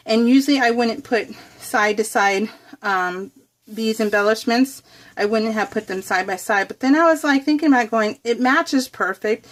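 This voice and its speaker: An American woman chatting casually to viewers while crafting, in a bubbly, giggly, rambling, gushing way. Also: on the high side. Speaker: craft vlogger hosting a scrapbook process video.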